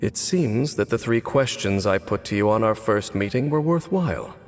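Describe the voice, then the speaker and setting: Low-voiced, world-weary cursed swordsman. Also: animated. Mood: cool resolve. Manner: formal, measured, sardonic. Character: world-weary centuries-old cursed swordsman, cynical, aloof. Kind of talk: dramatic video game acting. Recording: long reverb, music behind.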